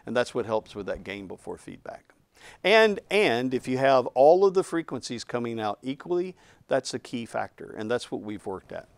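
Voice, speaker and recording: A man's voice: mid-range voice, dry recording. A man in his fifties giving an unscripted technical explanation of a product as its designer. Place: indoor studio set, seated.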